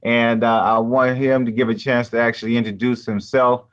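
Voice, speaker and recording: A man host talks casually, breaking off often with fillers and restarts. His pitch sits in the middle of the usual range. The recording sounds muffled. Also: little pitch movement. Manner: halting, many fillers and restarts, laughs freely. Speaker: coach and host. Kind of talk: casual live-stream introduction.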